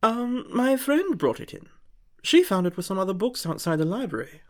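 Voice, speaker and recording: A woman voicing a prim, fussy, gentle male angel bookseller with polite, old-fashioned manners, in a storybook reading. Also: medium pitch, sing-song, moderate pace, voice pushed up, natural voice. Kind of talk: audiobook character voice.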